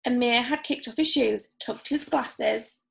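A woman's voice: a little high, measured pace, gravelly. A young woman reading a children's story aloud, voicing the dialogue. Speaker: story reader. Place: indoors in a home room.

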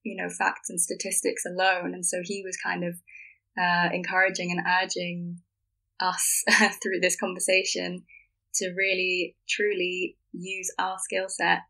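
A woman's voice: on the low side, monotone, measured pace, vocal fry, sharp tone, poor audio. A woman from Germany speaking as a podcast guest in a thoughtful, earnest, conversational way. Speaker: podcast guest, filmmaker and actor.

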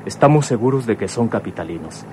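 An actor playing a commissioner's grown son in a radionovela, sober and courteous. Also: male voice, lowish voice, lively intonation, fairly quick, darkish tone, close to an everyday voice. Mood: explaining, defensive. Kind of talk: radionovela acting. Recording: faint vehicle noise behind.

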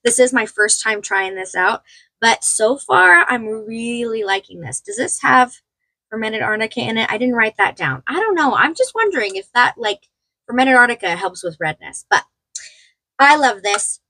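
A woman's voice: fairly high pitch, big pitch swings, moderate pace, sharp tone, no room sound, rough recording. A young woman getting over a cold, casually reviewing makeup in a small room at home, rambling and chatty with many fillers. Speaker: beauty vlogger.

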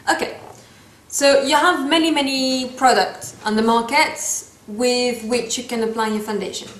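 A woman in her thirties speaks English with a French accent, her voice sitting a little high. She chats through a makeup tutorial in a playful, loose way, pausing to hunt for English words. The camera mic catches the echo of a small room. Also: fairly bright tone. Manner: chatty, playful asides, searching for English words. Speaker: makeup vlogger. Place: small room indoors, seated.

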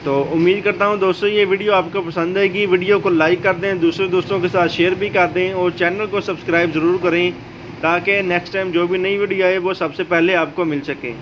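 Male tutorial host, notably high voice, explaining step by step over slightly noisy audio. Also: a little fast. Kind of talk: how-to video narration. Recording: dull lo-fi audio, steady background noise.

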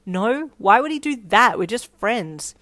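A woman's voice: fairly high pitch, lively intonation, a little slow, a bit bright, no room sound, voice pushed up. A woman voicing a lovesick schoolgirl in denial in a story, flustered and defensive with a huffy, stammering manner.

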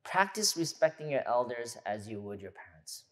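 Male pastor, 30s, casual teaching sermon, lively gestures, church hall, lapel mic. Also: mid-range voice, normal speed. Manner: animated, gesturing, conversational teaching.

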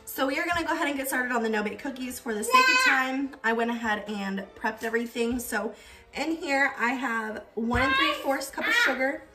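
A young woman talks through a recipe at her stove, chatty and relaxed, with plenty of ums as she explains each step. Her voice sits a little high and a bit away from the microphone. Soft music plays underneath. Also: fairly quick, recorded from a distance. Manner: chatty, explaining steps with fillers. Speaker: cooking vlogger. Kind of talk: casual cook-along narration. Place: home kitchen.

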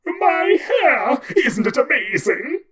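A man voicing a huge, petulant, tick-like male Dark God who acts like an internet troll, loud, whiny and theatrical. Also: very high voice, very animated, slow pace, pitched above the performer's own voice, heavily acted. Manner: loud, whiny, theatrical, touchy about his hair. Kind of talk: storytelling with voiced characters.

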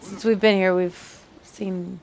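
A woman's voice: medium pitch, flat delivery, slow pace, vocal fry, a little mellow, a little hiss or hum. A young woman giving casual commentary as she watches, recorded in an indoor studio. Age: young adult. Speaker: reaction show host.

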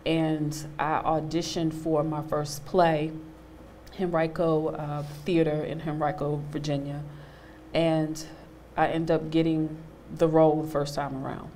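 A woman in her thirties on a studio panel, telling a personal story with animated quoted voices. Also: sits low, a little slow, slight background noise. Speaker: panel guest, actor. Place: studio stage with a small audience.

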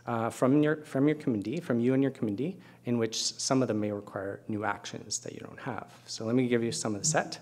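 A middle-aged man speaking as a keynote presenter at an academic conference, explaining things with conversational asides. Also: mid-range voice. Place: lecture hall at a podium, indoors.

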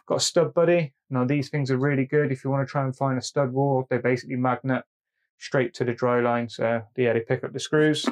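A man's voice: mid-range voice, steady tone, brisk. A man, a plumber casually walking through his tool bag and repeating "really, really nice" as he goes. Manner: chatty, repeats "really, really nice", calls tools "he". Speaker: plumber showing his tools. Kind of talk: casual show-and-tell. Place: indoor tabletop setup, speaker off camera.

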